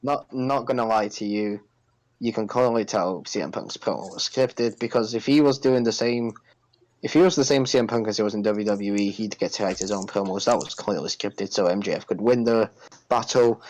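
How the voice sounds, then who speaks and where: A man's voice: on the low side, moderate pace, a little mellow, low-quality recording. A man co-hosting a podcast, giving his opinions on wrestling in casual chat. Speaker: podcast co-host.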